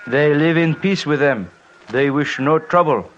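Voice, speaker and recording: Solemn higher-voiced male guide played by an actor. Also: close to an everyday voice. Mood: grave. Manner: formal, deliberate, clipped short sentences. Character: solemn, loyal male Himalayan guide, earnest and fearless. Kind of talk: old-time radio adventure drama acting. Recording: dull, faintly noisy old radio recording.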